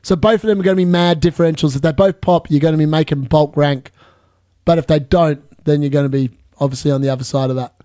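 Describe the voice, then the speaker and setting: A man in his late thirties hosting a live fantasy football stream, chatty and excitable, laughing often as he answers viewer questions. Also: a little high, fast talker, dry recording, studio quality. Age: 30s.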